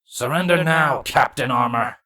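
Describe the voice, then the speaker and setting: A man's voice: medium pitch, moderate pace, pushed and bright, close to an everyday voice. A man voicing a cold, commanding insectoid changeling captain in a fan fiction audiobook, speaking formally and with menace. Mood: threatening.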